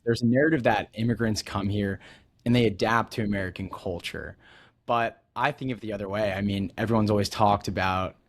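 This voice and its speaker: A man hosting a business podcast, reading an upbeat scripted intro and then chatting in a friendly, loose way with his guest. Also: fairly low pitch. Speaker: podcast host.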